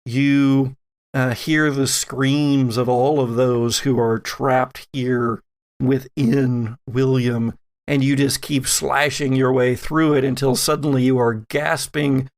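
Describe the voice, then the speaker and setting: A man acting as game master, narrating a tabletop role-playing game dramatically with lingering, descriptive phrasing. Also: medium pitch.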